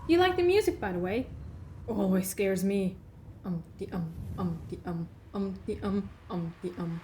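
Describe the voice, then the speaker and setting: A woman's voice: mid-range voice, moderate pace, a little mellow, a little hiss or hum, lightly acted. A woman playing a playful, reassuring alien heroine in a fan audio drama, coaxing and teasing as she talks. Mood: playful, musing. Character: playful, reassuring time-travelling alien heroine, a voice in a boy's head. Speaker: voice actor. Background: music.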